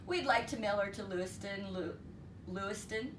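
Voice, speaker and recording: A woman's voice: mid-range voice, normal speed, lightly acted. A woman voicing a plain-spoken, thrifty rural father in a storybook, folksy and matter-of-fact. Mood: folksy, persuasive. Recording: roomy, distant mic, noisy.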